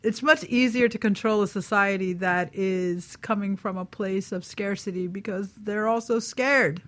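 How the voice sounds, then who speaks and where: A woman's voice: mid-range voice, moderate pace. A woman speaking earnestly and emphatically in a casual radio discussion, repeating phrases for emphasis.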